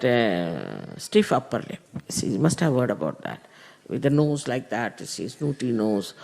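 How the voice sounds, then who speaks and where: A middle-aged man speaks slowly in a raised, gravelly voice. His spiritual talk rises and falls in lively swings of pitch. He explains unhurriedly, pausing often on "uh". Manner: unhurried, explanatory, with many "uh" pauses. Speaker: spiritual teacher.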